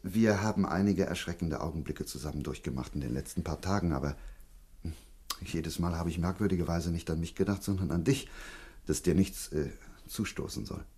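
An actor playing an earnest, protective middle-aged investigator in a German radio drama, hesitant and repeating himself. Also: male voice, sits low, fairly quick, darkish tone, husky. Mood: tender, awkward. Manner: hesitant, stammering repetitions, earnest.

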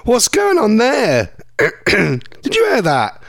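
A man's voice: high-pitched, wildly swooping pitch, slow, creaky, fairly bright tone, no echo, studio quality. A man presenting a local radio show, rambling and self-mocking while he loses his voice. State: losing his voice. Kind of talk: casual live radio chat. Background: music bed.

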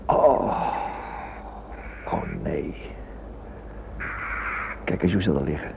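A man's voice: rumbling low, sing-song, very slow, creaky, full character voice. A man acting an anxious character in a radio thriller. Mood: horrified. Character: anxious man, shocked at a grim discovery. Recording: very noisy, muffled radio recording.

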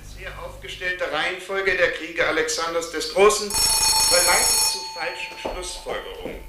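An actor playing an earnest history professor giving a declamatory, scholarly lecture in a radio drama. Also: male voice, high voice, moderate pace, lightly acted. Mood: earnest, lecturing. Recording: very noisy, echoing radio broadcast.

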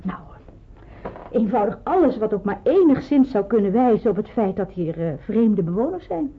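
An actress playing a practical, helpful woman in hiding in a radio drama, explaining things briskly and precisely. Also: medium pitch, very animated, a little hiss or hum. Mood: earnest, careful. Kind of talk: Dutch radio drama acting. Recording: muffled, phone-like old radio recording.

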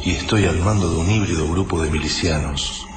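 A man's voice: deep voice, fairly quick, natural voice. An actor playing a grieving man turned militia leader, in a sombre Argentine radio drama monologue. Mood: sombre, reflective. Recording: echoey, distant, music underneath.

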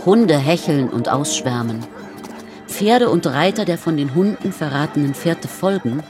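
A woman narrating a historical radio play in a measured, composed, literary manner. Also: sits low, animated, over music.

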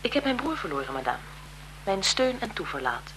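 Calculating bereaved sister, deferential yet insinuating, bright piercing voice, 1970s radio drama, faint noise. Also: female voice, medium pitch, big pitch swings, normal speed, rough recording. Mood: menacing, smooth. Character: frail-seeming, calculating female blackmailer, photographer's bereaved sister.

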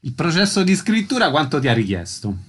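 A man hosting a radio interview, introducing and questioning his guest in a measured way. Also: fairly high pitch, sing-song, fairly bright tone, a little hiss or hum.

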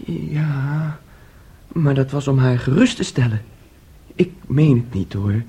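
An anxious, husky boyish young man. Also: mid-range voice, expressive, dark tone, full character voice. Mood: defensive, pleading. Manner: agitated, halting, repeating himself. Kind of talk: Dutch radio play acting.

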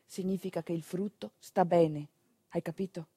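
A woman playing a hushed, reassuring village midwife. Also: steady tone, dry recording. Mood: calm, reassuring. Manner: low-voiced, clear, slow and repetitive. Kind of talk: stage monologue acting.

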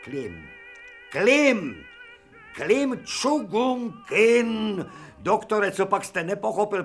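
A sarcastic, irascible old professor in a radio drama. He lectures scornfully, his slightly high voice leaping about with lively swings. Every point is hammered home with emphasis. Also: exaggerated voice. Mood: indignant.

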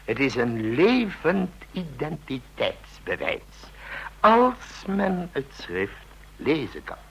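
A man's voice: a little high, very animated, vocal fry, a bit bright, husky, heavily acted. Een man die in een hoorspel een babbelzieke, scherpzinnige oude tandarts speelt, bedachtzaam en licht dreigend, vol gemompelde herhalingen. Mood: probing, murmuring. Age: older. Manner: deliberate, musing, with murmured repeats and rhetorical questions. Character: chatty old dentist, shrewd, musing, quietly menacing. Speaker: dentist. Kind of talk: radio drama acting.

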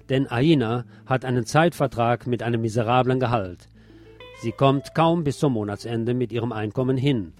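Guy reading scripted radio news and commentary, mid-pitched voice, bit of music underneath. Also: darkish tone. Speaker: radio presenter.

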